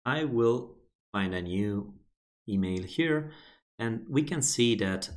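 A man whose first language is Italian, walking through a software feature step by step in a plain explaining manner with frequent restarts. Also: medium pitch, expressive, slow. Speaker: software tutorial presenter. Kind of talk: screen-recorded software walkthrough.

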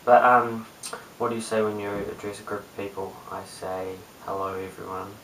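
A young man with a New Zealand accent chats casually in a low-pitched, hesitant mumble. His voice is low-key and stays very even. It is picked up by a distant webcam microphone with some hiss. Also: normal speed, reverberant. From Christchurch, New Zealand. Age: young adult, late teens. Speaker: amateur video blogger. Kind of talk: casual chat to camera. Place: small bare room indoors. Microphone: webcam at a short distance.

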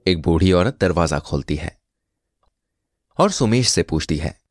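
A man's voice: low voice, animated, a little slow, dry recording, studio quality. A man narrating a scripted story as a storyteller.

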